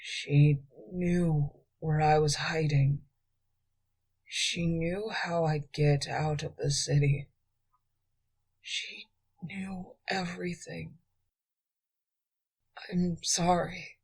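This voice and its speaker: A woman voicing an exhausted, wounded young male thief who speaks in a faint, slow whisper. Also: sits low, fairly even intonation, darkish tone, slightly rough, heavily acted, poor audio. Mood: weary whisper.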